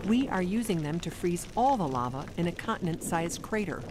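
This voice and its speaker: A woman playing a precise, literal-minded starship commander in an audio drama, formal and deadpan. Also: lowish voice, a little fast, noisy background, natural voice. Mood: dry, exact.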